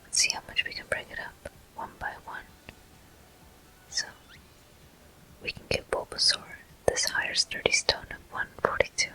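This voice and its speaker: A person whispering softly and breathily into a close microphone, narrating gameplay step by step in an ASMR style. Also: very high voice, expressive, rough recording. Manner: soft, unhurried, gently narrating each step. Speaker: gaming video host. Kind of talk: ASMR whispered game commentary.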